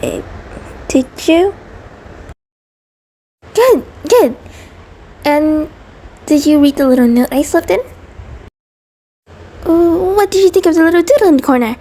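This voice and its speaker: A woman plays a sweet, neurotic centipede partner in an intimate audio drama. The voice is high and soft-toned, unhurried yet nervous, full of hesitations and stammers as it rambles devotedly. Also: lively intonation, full character voice. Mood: shy, affectionate, nervous. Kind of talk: intimate scripted audio roleplay, ASMR-like.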